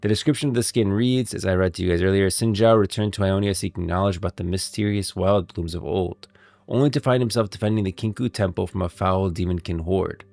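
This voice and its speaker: Low-pitched man livestreaming game lore. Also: fairly even intonation, fairly quick, gravelly, a little mellow, no room sound. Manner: informal, addresses viewers directly. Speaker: livestream host.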